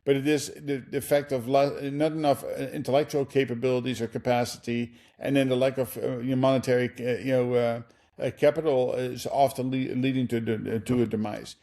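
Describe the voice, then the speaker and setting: A man with a Dutch accent hosts a business interview podcast. His voice is mellow and relaxed, and he speaks in a halting way, stacking fillers and restarts as he works toward his questions. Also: mid-range voice. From Netherlands. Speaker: podcast host.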